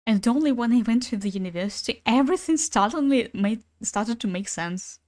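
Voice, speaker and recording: A woman's voice: on the high side, expressive, brisk, a little mellow, no room sound, clean studio sound. Chatty young woman streamer, Ukrainian native. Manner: chatty, swears casually, laughs between thoughts. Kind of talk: casual gaming stream chat.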